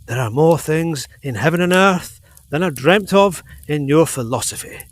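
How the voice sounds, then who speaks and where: An actor playing a learned, excitable doctor with a pedantic, emphatic, theatrical way of speaking in a period gothic audio drama. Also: male voice, fairly high pitch, moderate pace, natural voice. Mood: earnest, speculative. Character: learned, excitable male doctor pondering mysteries.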